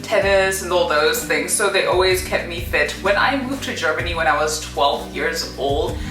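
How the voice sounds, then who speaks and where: A woman's voice: on the low side, normal speed, roomy sound, over music. A young woman from Zimbabwe telling her story in a chatty vlog, full of fillers. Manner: chatty, many fillers and "kind of". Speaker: vlogger.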